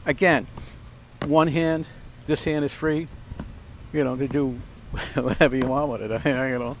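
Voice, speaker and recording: A man's voice: mid-range voice, steady tone, unhurried, background noise. An older man hosting a casual outdoor cooking demonstration, chatty and rambling with many fillers and restarts. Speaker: cooking show host. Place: outdoor backyard by a fence. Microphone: lapel mic.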